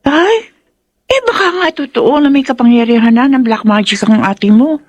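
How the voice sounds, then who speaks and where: A woman's voice: high voice, lively intonation, normal speed, no echo. An actress playing an inquisitive, concerned young girl in a radio drama, earnest and questioning, with a Filipino accent. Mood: curious, concerned.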